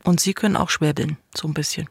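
A woman's voice: low voice, fairly even intonation, quick pace, gravelly, slightly rough, no echo, studio quality. A woman working as an interviewer, asking questions in a conversational radio style.

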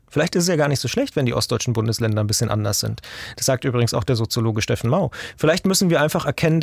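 A man's voice: mid-range voice, gravelly, no room sound. A German journalist in his forties from the east of the country, talking thoughtfully as an interview guest in long, restarting sentences. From eastern Germany. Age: 40s. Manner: thoughtful, talks in long chains with restarts and tag questions. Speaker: journalist and podcast maker. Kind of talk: interview guest, reflective conversational talk.

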